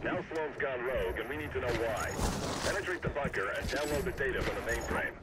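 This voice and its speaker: Authoritative military commander, brisk orders, radio effect, heavy battle noise, video game. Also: male voice, medium pitch, expressive. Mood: commanding. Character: businesslike male military commander briefing soldiers.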